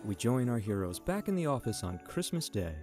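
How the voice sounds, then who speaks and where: A man acts as a dramatic, playful narrator of a radio adventure story. His mid-pitched voice moves with lots of variation over clearly audible music. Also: big pitch swings, fairly quick, natural voice. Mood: storytelling. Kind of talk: scripted audio drama narration.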